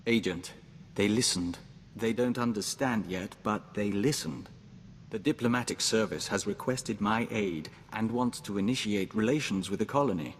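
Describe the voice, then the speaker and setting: An actor playing a gentle, earnest man joined to an insect hive mind, measured and formal in scripted game dialogue. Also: lowish voice, lightly acted. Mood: calm, earnest. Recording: noisy game capture with long reverb.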